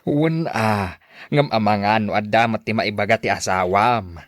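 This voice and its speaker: A man's voice: on the low side, measured pace, dry recording, studio quality, lightly acted. A man with an Ilocano Filipino accent playing a concerned, admonishing father in an audio drama. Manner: questioning, admonishing. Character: concerned, admonishing father of a grown married daughter.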